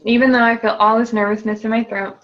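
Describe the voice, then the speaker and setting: A woman's voice: fairly high pitch, level tone, fast, muffled recording. A woman client repeating tapping phrases after her coach and hesitantly describing her nervousness. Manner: hesitant, searches for words.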